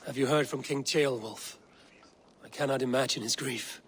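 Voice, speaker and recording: An actor playing a blunt, loyal Viking raider in a video game, grave and restrained. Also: male voice, mid-range voice, fairly even intonation, normal speed, a little hiss or hum, natural voice. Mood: measured, solemn. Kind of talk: dramatic video game acting.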